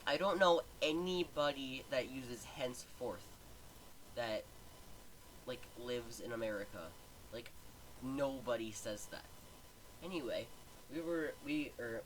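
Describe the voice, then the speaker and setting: A man's voice: mid-range voice, unhurried, slight background noise, poor audio. A man casually reading online stories aloud on a home microphone, stumbling over words and stopping to comment. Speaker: story reader.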